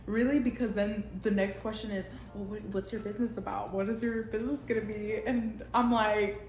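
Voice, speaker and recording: A woman's voice: medium pitch, steady tone, normal speed, a little mellow, a little hiss or hum. Blunt, laughing young woman vlogger. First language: Spanish. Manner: blunt, profane, rambling with frequent laughs. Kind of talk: unscripted casual monologue. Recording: roomy echo.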